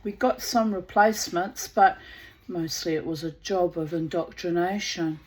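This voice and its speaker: A woman's voice: fairly low pitch, a little slow, close to an everyday voice, rough recording. A woman with a New Zealand accent reading a male engineer in a storybook, explaining plainly to a worker. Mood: matter-of-fact. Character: weary male engineer supervising builders.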